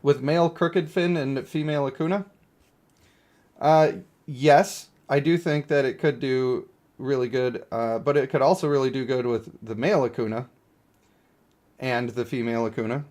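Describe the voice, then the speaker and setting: A man doing casual live-stream game commentary, reading text aloud and thinking out loud in informal, slangy, sometimes profane language. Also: mid-range voice, fairly even intonation, normal speed.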